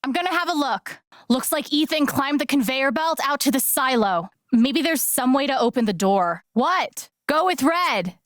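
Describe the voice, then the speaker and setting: A woman's voice: high-pitched, lively intonation, moderate pace, dry recording, clean studio sound. A woman voice acting an earnest, caring young heroine in a video game, with casual, hesitant, naturalistic delivery. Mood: curious, then playful. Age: young adult. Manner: casual, hesitant, conversational phrasing.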